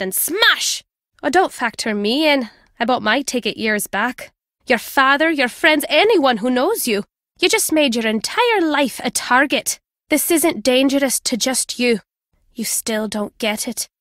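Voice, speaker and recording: A wary middle-aged woman from a video game. Her voice sits slightly high and is recorded dry, with a wry, blunt manner of an old family friend. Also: fairly bright tone, no echo. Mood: grim warning. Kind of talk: scripted video game voice acting.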